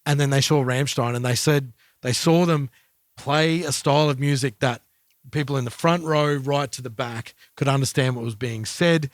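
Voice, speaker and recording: A man in a casual music discussion as a podcast co-host, speaking in a measured, hesitant, thoughtful way. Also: medium pitch, no echo, studio quality.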